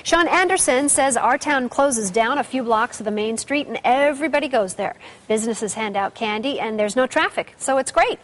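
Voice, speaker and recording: A woman's voice: on the high side, animated. A woman co-hosting a local TV morning show, chatting casually and reading viewer comments aloud. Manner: upbeat, quick, reads viewer comments aloud. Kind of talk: casual studio chat. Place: TV studio.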